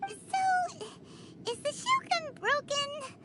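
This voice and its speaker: A woman voicing a tiny, childlike, cheeky fairy companion in a video game, squeaky, whiny and exclamatory. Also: big pitch swings, slow, pushed and bright, a little hiss or hum, exaggerated voice. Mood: puzzled. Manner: squeaky, whiny, exclamatory, speaks of self in third person.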